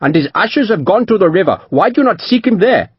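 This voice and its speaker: A man's voice: mid-range voice, lively intonation, takes it slowly, husky, no echo, muffled recording. A man voicing a merchant who answers with fierce defiance. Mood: defiant.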